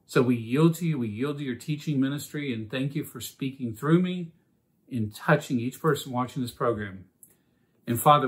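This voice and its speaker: A man teaching a Bible study in an earnest, measured way, opening with a prayer and reading scripture passages aloud. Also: medium pitch.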